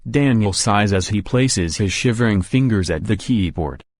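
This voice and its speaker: A man's voice: on the low side, animated, normal speed, a little mellow, dry recording. A man reading scripted story narration for a visual novel.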